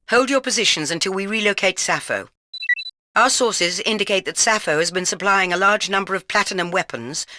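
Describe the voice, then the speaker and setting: An actress playing a stern, commanding older British intelligence chief in a video game, speaking in a formal, clipped, authoritative way. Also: female voice, mid-range voice, bright, forceful tone, slight background noise. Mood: urgent, authoritative. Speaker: intelligence chief giving mission orders. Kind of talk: video game mission briefing lines.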